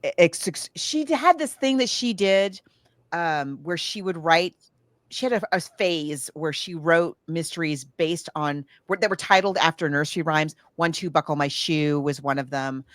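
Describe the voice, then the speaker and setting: A woman chatting casually about books and films, animated and halting as she searches for details while recounting. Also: deep voice, no room sound, clean studio sound. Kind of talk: casual book and film discussion.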